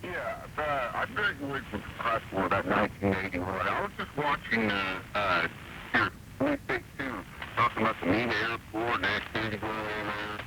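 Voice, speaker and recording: A man in his forties calling a radio show, telling stories in a casual, halting, rambling way over a shortwave broadcast. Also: on the low side, animated. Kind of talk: casual phone-in chat. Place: phone call into radio show. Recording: very noisy shortwave broadcast, distant caller sound.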